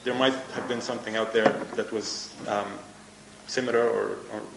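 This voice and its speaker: Halting low-voiced Egyptian man, Arabic native. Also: level tone, gravelly, heavy background noise. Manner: halting, repeats words. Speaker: guest cartoonist. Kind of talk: live event interview. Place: event hall with audience. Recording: echoey room with faint ambience.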